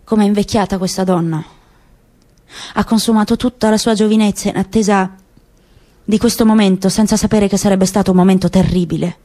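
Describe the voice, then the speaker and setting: A woman's voice: mid-range voice, fairly even intonation, a little fast, warm tone, lightly acted. A woman voicing a weary, grieving middle-aged father in a literary radio reading, speaking in a grave, searching way. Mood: brooding.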